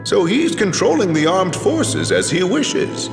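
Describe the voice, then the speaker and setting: Earnest little royal attendant, creaky, wildly swooping pitch, echoing hall, fantasy game. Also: male voice, wildly swooping pitch, unhurried, exaggerated voice. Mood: suspicious. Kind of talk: anime-style video game voice acting. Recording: echoey reverberant hall, loud music behind.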